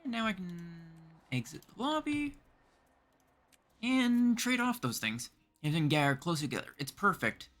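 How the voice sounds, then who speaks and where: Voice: mid-range voice, very animated, measured pace, fairly bright tone. A streamer from Canada giving casual live video game commentary, chatting and thinking aloud in short remarks.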